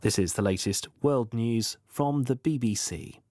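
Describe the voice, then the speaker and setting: A man's voice: medium pitch, a little slow, a little mellow, no room sound, studio quality. A man presenting a news bulletin, reading from a script.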